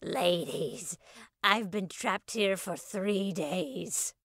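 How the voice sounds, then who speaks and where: Woman voicing a slow, crackly, eccentric little oracle lady. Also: fairly bright tone, no room sound, clean studio sound, full character voice. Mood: cryptic, solemn. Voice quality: high-pitched, crackly, raw. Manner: slow, drawn-out, sing-song pronouncements. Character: small, eccentric, crazy mystery older woman, slow and cryptic.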